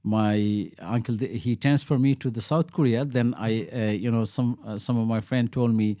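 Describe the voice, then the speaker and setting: Halting Bangladeshi-born man, mellow voice. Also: mid-range voice, no room sound, clean studio sound. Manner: halting, many restarts and fillers. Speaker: local councillor, guest. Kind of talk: interview answers.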